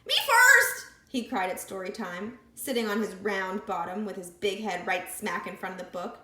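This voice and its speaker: A woman reading a picture book aloud with expressive, lively storytelling. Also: mid-range voice, moderate pace. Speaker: storybook reader. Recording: echoey room.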